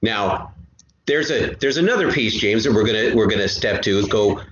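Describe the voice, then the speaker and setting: A low-voiced man speaks as an interview guest, explaining things earnestly and circling back over his words. The sound is roomy and somewhat muffled. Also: animated, normal speed, a bit bright. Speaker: guest, co-creator of an audio drama podcast. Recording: roomy, dull, noisy amateur recording.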